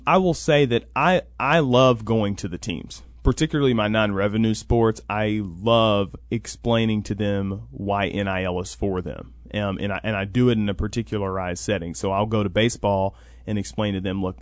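A man's voice: fairly low pitch, moderate pace, no echo, clean studio sound. A man answering interview questions as a program coordinator, explaining conversationally and often acting out what others would say. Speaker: interview guest, college NIL program coordinator. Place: radio sports talk show.

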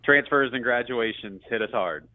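A man's voice: mid-range voice, moderate pace, no room sound. A man talking sports as a radio play-by-play guest, in a chatty, conversational analysis style. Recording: muffled, band-limited phone-like line.

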